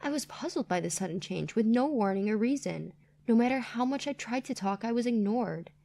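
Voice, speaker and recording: A woman's voice: fairly high pitch, expressive, fairly quick, vocal fry, mellow. A woman reading a scripted first-person family drama as a story narrator.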